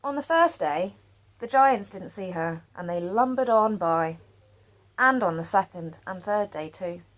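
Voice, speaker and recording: A woman storyteller reading a children's picture book aloud expressively, with dramatic pauses. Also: medium pitch, animated.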